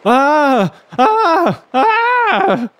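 A man performs a frightened fugitive from a ghost story. His voice jumps high as he pants, gasps and stammers in terror, short of breath. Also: very animated, very slow, fairly bright tone, no room sound, exaggerated voice. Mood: terrified, gasping. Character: terrified man fleeing a ghost, panting and stammering.

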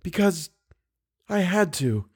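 A man's voice: high-pitched, big pitch swings, slow pace, a little mellow, slightly rough, voice pushed up, heavily acted. A man reading an audiobook, voicing a frightened, earnest and passionate young woman. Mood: firm, fearful. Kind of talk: audiobook character dialogue.

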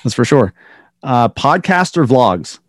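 Podcast host guy, chill, quick either-or questions, super dry sound, middle voice. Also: mid-range voice, very animated, no echo. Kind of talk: casual podcast interview.

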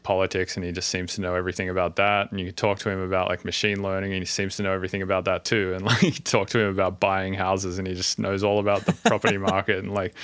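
Low chill podcast host guy, smooth voice, dry close sound, lots of like and um. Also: fairly bright tone, no echo. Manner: laid-back, chatty, uses filler words. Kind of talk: casual podcast chat.

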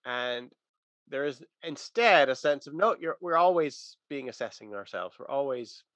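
A man's voice: mid-range voice, expressive, normal speed, a bit bright, no echo. A man talking through religious ideas in a casual, conversational but emphatic teaching manner.

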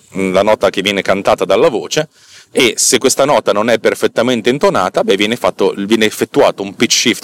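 A man with a fairly low voice talks like a podcast host explaining technical things. His delivery is informal and colloquial, with halting phrasing as he often corrects himself mid-sentence. He comes across as self-deprecating and unpolished.

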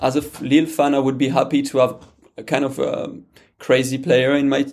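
French guy chatting football on a podcast, gravelly voice, lots of ums and restarts. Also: mid-range voice, level tone, moderate pace, a little mellow. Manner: hesitant, many fillers and restarts, non-native phrasing. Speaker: guest football pundit. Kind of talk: casual podcast chat.

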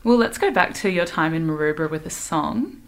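A woman's voice: deep voice, lively intonation, moderate pace. A woman hosting a conversational radio interview. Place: radio studio.

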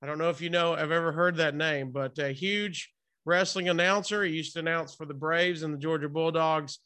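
A man's voice: fairly high pitch, fairly even intonation, brisk, pushed and bright, clean tone. A man hosting a casual interview, chatting in an informal, rambling, buddy-like way. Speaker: interview host.

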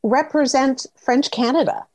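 A woman's voice: sits high, a little slow, darkish tone. A woman interviewing a guest, asking probing, emphatic questions. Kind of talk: conversational interview.

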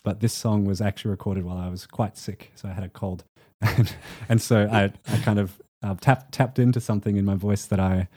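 A man, a musician guest, talking in a relaxed and hesitant way and laughing often. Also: lowish voice, a little fast, few pauses, no echo, clean studio sound. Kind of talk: casual interview.

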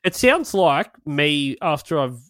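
A man's voice: a little high, sing-song, takes it slowly, fairly bright tone, dry recording, clean studio sound. A man co-hosting a podcast in casual chat, swearing freely and laughing often. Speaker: podcast co-host.

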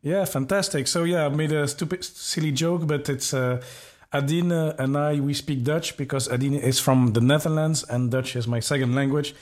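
A man's voice: a little high, fairly even intonation, normal speed, hardly any pauses. Man hosting a podcast. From Belgium. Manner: friendly, many uh fillers and self-corrections. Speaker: podcast host. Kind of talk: podcast interview.

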